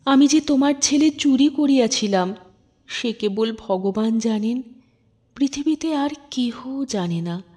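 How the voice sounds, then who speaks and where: A woman's voice: on the high side, animated, a little slow, a little mellow, breathy edge. A woman voicing a humble old male servant from a storybook, pleading reverently and tearfully.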